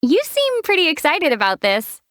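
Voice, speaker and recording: A woman voicing a calm young female traveler hero who teases in a deadpan way, in studio game voice acting. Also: high voice, moderate pace, no echo, heavily acted. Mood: dry. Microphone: studio mic.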